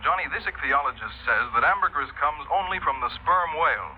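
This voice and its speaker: A phone-thin vintage radio recording delivers an exasperated American insurance boss. He talks fast in a fairly high male voice, brisk and sarcastic, in fifties drama style. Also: lively intonation, slightly rough, muffled recording. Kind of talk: 1950s radio drama acting.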